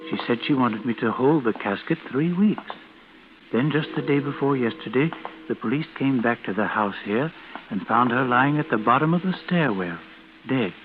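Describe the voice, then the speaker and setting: An actor plays a reflective undertaker in a 1940s radio drama. He speaks in a medium-pitched voice with formal, hesitant phrasing. The sound is thin and tinny, with faint noise. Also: expressive, moderate pace, lightly acted. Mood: musing, grave. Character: musing, formal undertaker telling an eerie tale. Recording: tinny, noisy old radio recording.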